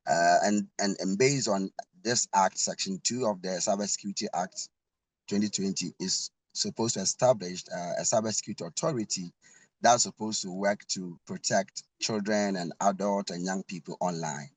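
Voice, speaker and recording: A man's voice: low voice, normal speed, no room sound. A man giving an earnest prepared talk over a video call, with fillers and repeated words. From Ghana. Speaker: panel speaker.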